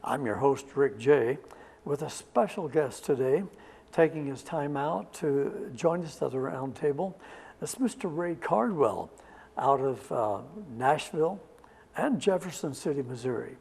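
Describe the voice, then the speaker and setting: A man hosting a local TV arts interview, speaking in a halting way with a formal, welcoming style. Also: on the high side, expressive, vocal fry, darkish tone, breathy edge, long reverb tail. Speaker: TV arts show host.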